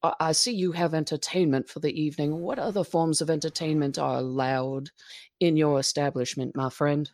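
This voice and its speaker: A woman's voice: low-pitched, steady tone, normal speed, darkish tone, no room sound. A woman playing a sly, charming adventurer.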